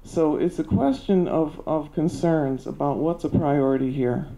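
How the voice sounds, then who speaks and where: Older woman, soft and mellow-toned, earnest and a little halting, handheld mic in a meeting hall, muffled sound. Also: low-pitched, vocal fry. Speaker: public commenter. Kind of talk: prepared public comment. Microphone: handheld microphone.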